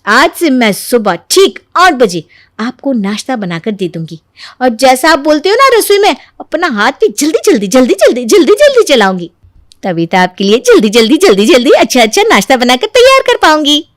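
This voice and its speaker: A sly, sugary young daughter-in-law from a family cartoon, acted by a woman. Her voice is very high and swoops widely, with a dry studio sound. She pesters eagerly with sing-song mock innocence. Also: very animated, normal speed, no echo. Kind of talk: animated moral story voice acting.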